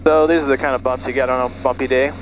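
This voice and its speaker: A man's voice: mid-range voice, level tone, fast, dull audio, a little hiss or hum. A middle-aged man, a private pilot, talking casually and making radio calls through an aviation headset, sometimes leaving sentences unfinished. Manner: trails off mid-sentence. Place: small propeller plane cockpit in flight. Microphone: aviation headset boom mic. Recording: engine drone in small plane cockpit.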